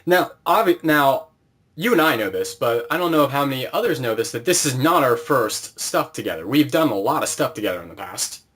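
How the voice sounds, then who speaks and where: Mid-pitched man hosting a casual interview. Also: lively intonation, brisk, fairly bright tone, slightly rough. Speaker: interviewer, host.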